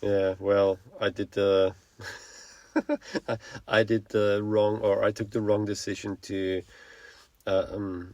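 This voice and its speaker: A man's voice: on the low side, darkish tone. A man in his forties with an Austrian accent, a native German speaker, co-hosting a casual podcast in a frank, informal way, often searching for words. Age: 40s. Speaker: podcast co-host.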